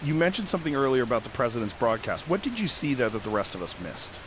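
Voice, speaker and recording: A man's voice: medium pitch, big pitch swings, fast, lightly acted. A man playing a level-headed, skeptical host of an apocalypse podcast drama, conversational and probing. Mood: wary, curious. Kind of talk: fictional apocalypse podcast interview. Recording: noisy audio with rain. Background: rain.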